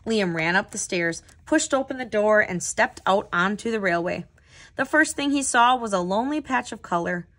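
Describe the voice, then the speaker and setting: A woman hosting a children's read-aloud as a tutor, telling the story with clear, expressive phrasing. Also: medium pitch, normal speed.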